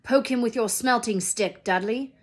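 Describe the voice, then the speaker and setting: A woman's voice: fairly high pitch, moderate pace, lightly acted. A woman voicing a bullying middle-aged uncle in a read-aloud storybook, barking and sneering his lines. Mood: bossy.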